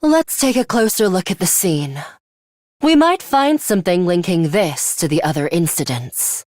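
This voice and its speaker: A woman playing a stern, ruthless young female fighter in a video game, speaking in a terse, measured and commanding manner. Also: fairly low pitch, expressive, darkish tone, dry recording, studio quality, close to an everyday voice. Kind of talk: scripted video game voice acting.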